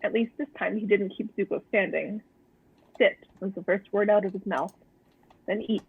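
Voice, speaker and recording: A woman reading a story aloud and chatting casually as a co-host, with a dry, deadpan manner. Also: mid-range voice, normal speed, husky, phone-quality sound. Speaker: co-host of a fan fiction read-aloud stream.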